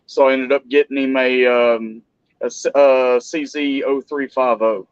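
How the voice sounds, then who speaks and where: A man's voice: medium pitch, a little slow, clear, resonant tone, no room sound, highs cut off. A man hosting a casual live stream, chatting loosely with many restarts about family and knives. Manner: relaxed, rambling with restarts.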